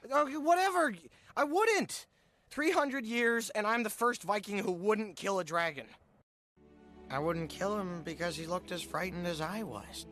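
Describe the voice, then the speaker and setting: A man voicing an awkward, sarcastic, scrawny teenage Viking boy in an animated film, nasal, with a wry, stammering delivery. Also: high voice, unhurried, soft background music. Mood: frustrated, then earnest.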